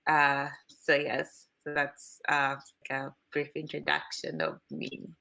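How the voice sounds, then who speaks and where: A woman's voice: low-pitched, big pitch swings, slow, vocal fry, bright, forceful tone. Chatty young woman on a video call. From Zambia. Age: 20s. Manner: chatty, many fillers of "like" and "um", laughs easily. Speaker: guest. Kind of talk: casual interview storytelling.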